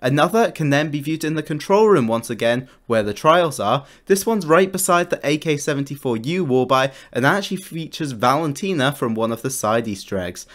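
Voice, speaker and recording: A man's voice: on the high side, lively intonation, a little fast, hardly any pauses. A man narrating a scripted video game guide in an informal, enthusiastic way, like a YouTuber. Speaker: gaming YouTuber.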